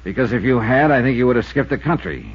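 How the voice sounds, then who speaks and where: An actor playing a cool, wry radio investigator in a 1950s detective drama, with a brisk, probing delivery. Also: male voice, medium pitch, sing-song, slightly rough. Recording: muffled lo-fi 1950s radio recording.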